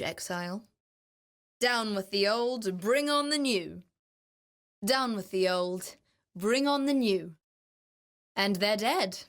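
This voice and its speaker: A woman playing a keen, wry explorer and ritualist in a video game, speaking in a measured, confiding, dryly playful way. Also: fairly high pitch. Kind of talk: scripted video game voice acting.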